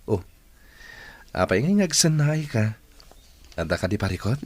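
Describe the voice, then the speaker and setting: A man's voice: fairly low pitch, very animated, unhurried, a little mellow. A man with an Ilocano accent playing a tender, encouraging young student boyfriend in an audio drama. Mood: affectionate.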